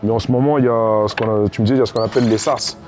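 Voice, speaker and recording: A man interviewing someone in casual chat, with informal, slangy and halting phrasing. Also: mid-range voice, fairly even intonation, a little mellow, soft background music. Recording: ambient background noise.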